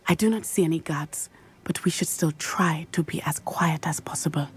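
A young woman voicing a brave, determined schoolgirl witch in a video game, speaking in a formal, measured way and often dropping to a hush. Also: deep voice, whispered, close to an everyday voice. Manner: measured, formal, confident speech, often hushed. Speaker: game character.

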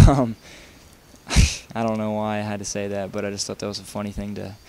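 A man's voice: lowish voice, little pitch movement, fairly quick, warm tone. A young man talking casually over his gameplay while showing how to build a track, rambling with lots of fillers and restarts. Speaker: video game tutorial maker.

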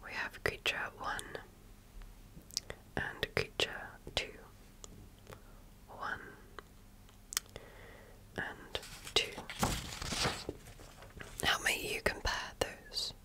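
A woman whispering softly and breathily in an ASMR medical exam roleplay, speaking as a gentle, attentive and reassuring clinician. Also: extremely slow, pauses often. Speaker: ASMR creator playing an alien medical examiner.

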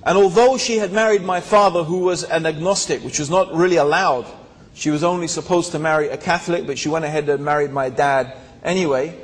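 A man's voice: fairly high pitch, brisk. A man, telling his life story to a hall audience, with rhetorical asides and many fillers, into a handheld mic. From born in Tanzania, raised in England. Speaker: speaker. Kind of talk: personal story talk to an audience. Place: large hall with seated audience. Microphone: handheld microphone. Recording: long hall reverb.

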